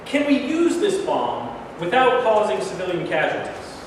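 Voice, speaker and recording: A man's voice: high voice, sing-song, measured pace. A man acting a decisive, grumbling, plain-spoken American president in a staged play reading. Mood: questioning, businesslike. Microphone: far camera microphone. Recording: echoey hall, distant camera, noisy.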